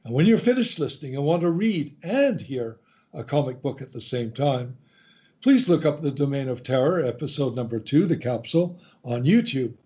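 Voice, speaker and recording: A man hosting a radio show, reading a scripted introduction in a deliberate, announcer-like way. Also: mid-range voice, animated, warm tone. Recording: slightly dull, lo-fi sound.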